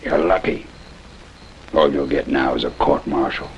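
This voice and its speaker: An actor playing a bitter, delusional, crippled army colonel in an old TV western, with a gravelly, creaky voice and a slow, haughty, brooding delivery. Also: male voice, very deep voice, steady tone, highs cut off. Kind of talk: 1950s TV western acting. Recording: noisy old TV soundtrack, rain-like hiss.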